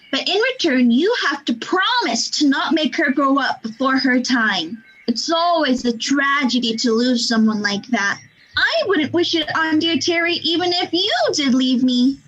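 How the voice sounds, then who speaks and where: Squeaky grand teddy bear knight, lively swoops, bright tone, dull lo-fi sound. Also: female voice, measured pace. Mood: wistful. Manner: grandly indignant, theatrical proclamations. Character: proud, wounded teddy bear knight from childhood. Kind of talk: amateur radio play acting. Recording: dull lo-fi recording.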